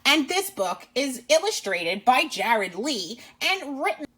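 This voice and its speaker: High-pitched, animated woman reading to children. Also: slow, breathy edge. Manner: animated, exaggerated expression, teacherly asides to the listener. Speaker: children's read-aloud channel host and teacher. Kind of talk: expressive storybook read-aloud for young children.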